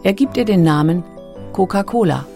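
Female narrator, scripted documentary read, low register with noticeable fry, over clear music. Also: lively intonation, normal speed, warm tone.

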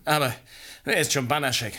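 An actor playing a cocky, ambitious newspaper reporter with a persuasive manner in a radio play. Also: male voice, mid-range voice, steady tone, brisk, bright, forceful tone. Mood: fervent, boastful. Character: glib, ambitious, debt-ridden newspaper reporter.

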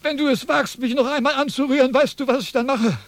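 An actor in a radio play playing a pompous, quarrelsome Chinese philosopher who lectures and declaims theatrically. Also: male voice, extremely high pitch, fairly even intonation, quick pace, dry recording. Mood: agitated, threatening. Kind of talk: German radio play acting.

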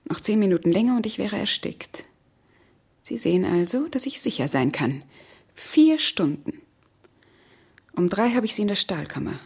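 A cold, scheming murderess with a husky, mid-pitched voice. She speaks with imperious control and cool persuasion, in the manner of a seventies radio thriller. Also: big pitch swings, moderate pace, pauses often, darkish tone. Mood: cold, calculating. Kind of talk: 1970s German radio crime drama acting.

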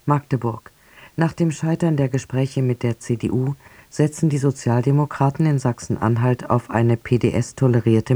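Dark-voiced guy reading radio news, very dry studio sound. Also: mid-range voice, no echo. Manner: measured, formal delivery. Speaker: newsreader.

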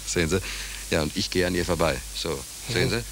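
Guy playing young yank sailor, low voice, rough n naive, halting storyteller, old hissy radio play. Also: fairly even intonation, natural voice. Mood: wistful storytelling. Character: young American merchant seaman, rough, naive, stranded and wistful. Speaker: narrator and lead of a radio play. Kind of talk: 1940s German radio drama acting. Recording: hissy 1940s radio recording.

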